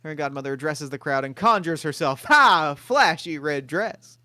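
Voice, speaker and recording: A man narrating screenplay stage directions in a table read, brisk and matter-of-fact. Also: a little high, expressive, sharp tone, no echo, studio quality.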